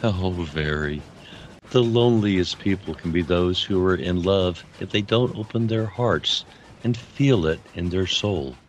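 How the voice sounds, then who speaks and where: Deep, slow, mysterious harlequin spirit, a man acting. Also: highs cut off, slight background noise. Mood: grave, compassionate. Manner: unhurried, courteous, enigmatic. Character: mysterious, compassionate male harlequin spirit, courteous and riddling. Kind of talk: audio fantasy drama acting.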